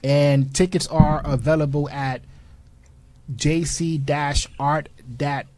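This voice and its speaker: A man born in Haiti and raised in Newark, a guest entrepreneur on a radio show, who spells out his web details slowly and carefully. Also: fairly high pitch, little pitch movement, darkish tone, dry recording. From born in Haiti, raised in Newark, New Jersey. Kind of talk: casual radio interview.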